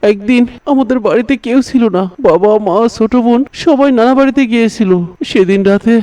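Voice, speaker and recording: A man's voice: very high-pitched, fairly quick, dark tone. An actor playing a pious, anguished young man in a moral cartoon, pleading in a devout, emphatic way. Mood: solemn prayer. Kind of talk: moral cartoon melodrama, prayer.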